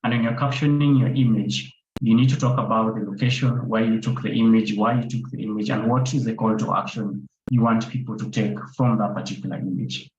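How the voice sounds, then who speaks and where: A man's voice: on the low side, steady tone, brisk, darkish tone. A man speaking as a conservation photographer on an online panel, repeating words for emphasis. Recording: roomy, dull sound with faint noise.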